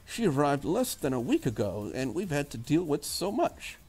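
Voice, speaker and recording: A man's voice: fairly high pitch, very animated, moderate pace, darkish tone, slightly rough, low-quality recording. A man voicing a preppy, critical young Englishwoman in a visual novel, prim and wordy, with an English accent. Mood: reluctant, uneasy.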